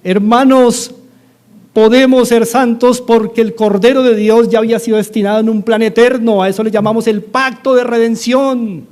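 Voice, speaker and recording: A man's voice: very high-pitched, steady tone, moderate pace, a little mellow. A middle-aged man with a Colombian accent, preaching a sermon as a pastor, earnestly repeating his exhortations. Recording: studio-like radio sermon.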